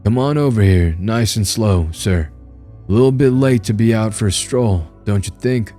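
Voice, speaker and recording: A man's voice: fairly low pitch, moderate pace, warm tone, soft background music, voice pushed up, natural voice. A man voicing an aggressive, suspicious male police officer who barks threats. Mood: threatening. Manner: barking, threatening.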